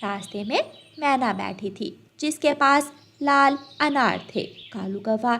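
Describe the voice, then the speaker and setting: A woman's voice: a little high, takes it slowly, fairly bright tone. A woman narrating a scripted children's cartoon story as the storyteller.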